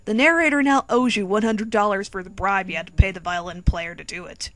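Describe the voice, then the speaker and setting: A woman's voice: mid-range voice, brisk. A woman narrating a comedic dramatic reading of fan fiction in a theatrical, tongue-in-cheek manner. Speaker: narrator and reader. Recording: quirky music behind.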